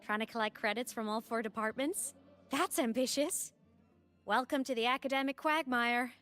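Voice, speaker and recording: An actress playing a playful, breezy young college student in a video game, teasing and animated. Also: female voice, fairly high pitch, normal speed, natural voice. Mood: friendly, teasing. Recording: music under the voice.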